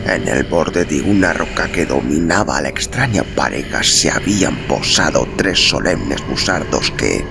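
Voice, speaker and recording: A man narrating a novel in a solemn, expressive, dramatic storytelling style, with music behind him. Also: rumbling low, moderate pace, creaky, hardly any pauses. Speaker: audiobook narrator. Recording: music bed under narration.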